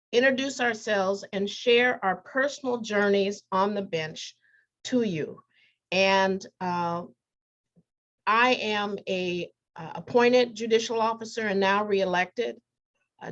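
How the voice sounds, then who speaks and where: A woman judge introducing her fellow panelists in a gracious, measured way with some fillers. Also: mid-range voice, lo-fi sound.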